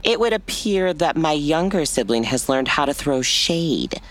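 A woman's voice: low-pitched, animated, measured pace. A woman playing a haughty, dramatic alien older sibling in an audio drama, with a pompous, theatrical manner. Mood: grandly dramatic.

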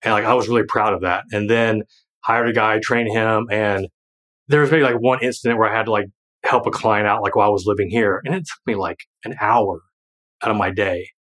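A man's voice: on the low side, fairly even intonation, fairly quick, a bit bright. A man in his thirties talking casually in a podcast conversation, rambling with many fillers and restarts and laughing often. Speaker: guest, former financial planner and creator.

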